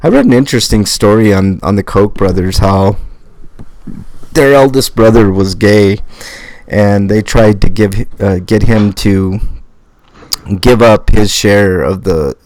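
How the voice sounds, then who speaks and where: Hoarse male podcast host, very low pitch, blunt and rambling. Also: measured pace, a little mellow, dry recording. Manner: rambling, filler-heavy, blunt. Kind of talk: casual live-stream chat.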